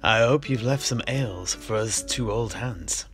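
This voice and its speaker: A man playing a genial old fantasy tavern keeper and storyteller, with courteous, old-fashioned, measured phrasing. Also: on the low side, lightly acted. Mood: courteous, storytelling. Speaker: host. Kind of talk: in-character fantasy roleplay dialogue. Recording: music behind the voice.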